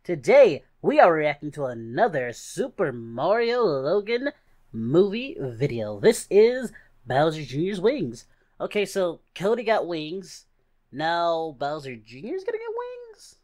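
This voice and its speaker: A man's voice: on the high side, wildly swooping pitch, unhurried, a little mellow. A man hosting a reaction video with casual commentary, speaking in character as an anime parody hero.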